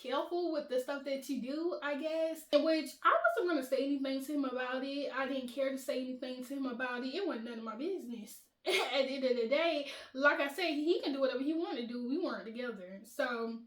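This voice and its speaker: High-voiced chatty woman. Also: brisk, echoey room. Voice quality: high-pitched voice. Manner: animated, chatty, laughs often. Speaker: vlogger, channel host. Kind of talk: casual storytime chat.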